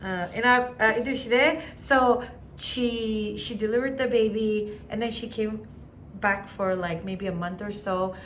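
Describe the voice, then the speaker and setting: Woman with a Naga accent, relaxed and talkative, average pitch, faint background hiss. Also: unhurried. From Nagaland, India. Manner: chatty, mixes English with Hindi and other Indian languages. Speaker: teacher in an international school. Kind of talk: casual chat.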